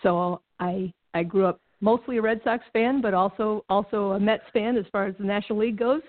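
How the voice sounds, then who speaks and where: A woman's voice: mid-range voice, fairly even intonation, brisk, no echo. Middle-aged woman, guest on a call-in show, chatty and laughing, tinny phone line, dry sound. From Connecticut, New England. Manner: chatty, laughs often, frequent restarts. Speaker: guest, former baseball team executive. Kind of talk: casual conversational interview.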